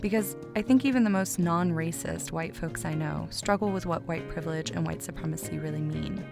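Female podcast host, scripted narration, lowish register with gravelly fry, music bed underneath. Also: fairly quick.

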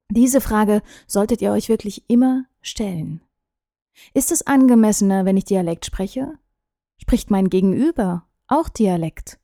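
A woman from Thuringia in Germany, a speech coach addressing her viewers in a measured, trained way. Her voice is mellow and warm at a middle pitch. The recording is close and dry. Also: dry recording. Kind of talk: scripted talk to camera.

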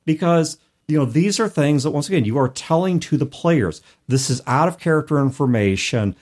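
A man hosting a talk show about tabletop gaming, explaining things in an articulate, conversational way with measured, emphatic phrasing. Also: on the high side, lively intonation, darkish tone. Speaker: podcast host. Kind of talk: conversational advice on tabletop game mastering.

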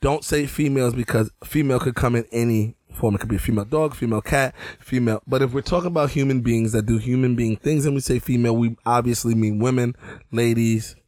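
A man's voice: fast talker, no room sound, clean studio sound. A man co-hosting a casual podcast, teasing his partner and laughing a lot as he talks. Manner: laughs often, teasing, swings from low to loud. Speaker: podcast co-host. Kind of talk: casual chat.

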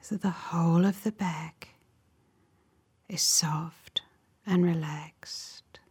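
A woman leading a guided relaxation meditation in a soft, breathy voice, giving gentle, soothing instructions in short, measured phrases. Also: fairly low pitch, fairly even intonation. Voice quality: soft, breathy, often near a whisper.

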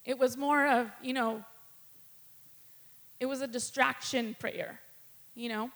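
A woman's voice: sits high, steady tone, takes it slowly, a bit bright. A middle-aged woman giving a candid personal testimony sermon from the pulpit, nervous and laughing between thoughts. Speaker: guest preacher.

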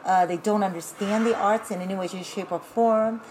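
A woman from Taiwan who grew up speaking Taiwanese, talking as a guest in a casual interview, animated and chatty with laughing asides. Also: medium pitch, moderate pace, a little hiss or hum. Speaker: guest, burlesque performer.

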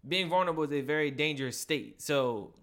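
Halting laid-back male podcast host. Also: on the high side, fairly bright tone. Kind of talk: casual interview chat.

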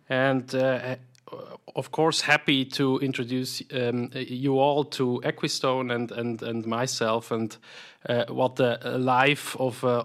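A man's voice: medium pitch, fairly bright tone. Hesitant Swiss man with fillers. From Switzerland. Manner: hesitant, many fillers and repeated words. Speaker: private equity investment professional, guest. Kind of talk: conversational interview answers.

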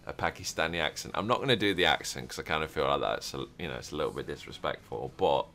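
A man's voice: a bass voice, fast talker, vocal fry, bright, forceful tone, slight background noise. A man hosting a roleplay podcast, chatting casually and rambling with many fillers and restarts. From Europe. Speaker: podcast host.

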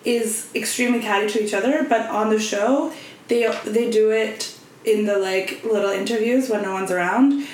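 A woman co-hosting a casual podcast, talking in quick, rambling, wry asides. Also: medium pitch, darkish tone, a little hiss or hum. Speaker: podcast co-host. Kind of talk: casual chat. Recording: roomy echo.

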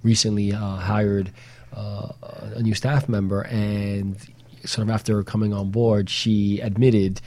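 A man hosting a conversational talk show, speaking haltingly and circling back to rephrase his points. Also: fairly low pitch, flat delivery, mellow. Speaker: host.

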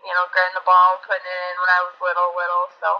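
A young woman in her twenties with a high-pitched voice, a college basketball player answering interview questions earnestly, with many restarts. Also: completely flat delivery, rapid-fire, highs cut off, faint music behind. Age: 20s.

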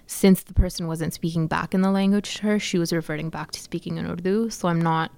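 Soft-spoken young woman, gravelly low voice. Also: steady tone, a little fast. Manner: soft-spoken, hesitant with many fillers. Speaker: filmmaker interviewee. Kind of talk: casual interview answers.

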